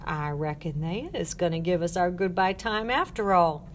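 A woman's voice: fairly low pitch, normal speed, lightly acted. A woman voicing a protective old Southern US woman in an audiobook, with folksy dialect and noise in the background. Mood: tender, sad. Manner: soothing, folksy dialect, firm when protecting. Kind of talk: audiobook character voice.

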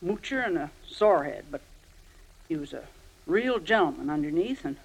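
A woman's voice: low-pitched, expressive, normal speed, creaky, husky, heavily acted, poor audio. An actress playing a blunt, feisty landlady with a brusque, colloquial way of talking, in an old radio drama. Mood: chatty, frank. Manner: brusque, colloquial, plain-spoken. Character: blunt, feisty Irish landlady, chatty but kind underneath. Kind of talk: 1940s-50s radio drama acting.

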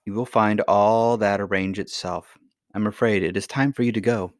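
A mysterious, kindly grandmother from a children's storybook, read by a male narrator. The voice sits fairly low and stays serene, gentle and patient, reassuring as it instructs. The sound is dry. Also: steady tone, normal speed, no room sound, lightly acted. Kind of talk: acted dialogue in a children's fairy tale reading.

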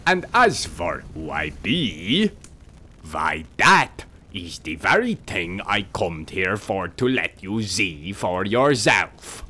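A man's voice: low voice, very animated, slow pace, pushed and bright, full character voice. A man voicing a quarrelsome male spirit with a heavy German accent, speaking broken, bossy English in a storybook reading. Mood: insolent. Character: gruff insolent male spirit, squat and quarrelsome. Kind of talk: audiobook character voice.

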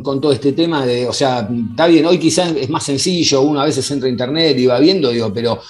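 A man's voice: mid-range voice, darkish tone. A man with a Rioplatense Spanish accent hosting a podcast interview, chatty and hesitant, full of fillers and restarts. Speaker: podcast host. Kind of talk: casual interview chat.

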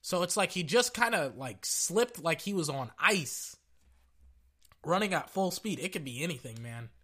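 A young man hosting a casual sports podcast, brash and excitable, talking through a sore throat as he loses his voice. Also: on the high side, moderate pace, slightly rough. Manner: brash and excitable, hypes with "ladies and gentlemen".

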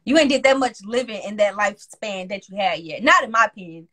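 A woman in her twenties hosting a casual chat, talking in a lively way and capping her points with a punchy one-word tag. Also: medium pitch, fairly quick. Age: 20s. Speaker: host.

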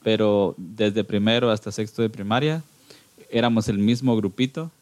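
A Guatemalan man in his thirties with a lowish voice, talking easily about his childhood and laughing between hesitant, restarted phrases. The sound is dry and close, with no room around it. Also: moderate pace, darkish tone, no echo. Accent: Guatemalan Spanish. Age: 30s. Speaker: podcast guest, band singer. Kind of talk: casual interview chat.

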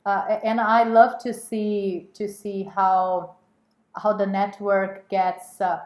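A woman's voice: medium pitch, flat delivery, slow pace. Woman guest, stops and restarts a lot, echoey room. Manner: hesitant, many fillers and restarts. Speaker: guest, women's network co-chair. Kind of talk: casual interview talk.

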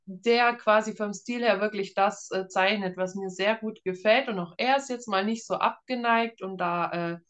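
Casual German woman with a middling pitch. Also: normal speed, few pauses. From northeastern Germany. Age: 30s. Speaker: interview guest, author. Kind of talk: casual interview chat.

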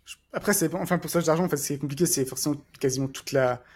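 A man's voice: on the high side, very fast, breathy edge. A man chatting casually as a podcast co-host, rambling with lots of fillers and restarts. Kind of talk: casual chat.

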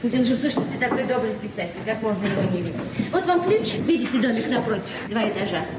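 A woman's voice: a little high, animated, quick pace, lightly acted. An actress in a radio play as a naive, talkative librarian in her forties, speaking hesitantly and repeating herself. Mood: chatty, earnest. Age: 40s. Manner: flustered, hesitant, chatty, repeating words. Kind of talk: naturalistic Soviet radio drama acting. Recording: echoey, distant, noisy 1970s radio recording.

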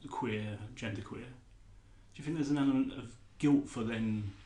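A man's voice: mid-range voice, measured pace, mellow, roomy sound. A man hosting a podcast, asking long, searching questions with fillers. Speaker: podcast host. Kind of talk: podcast interview.

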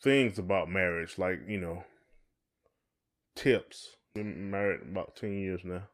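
A man's voice: deep voice, steady tone, unhurried. A man co-hosting a podcast in casual conversation, talking in a folksy way and often repeating his phrases. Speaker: podcast co-host.

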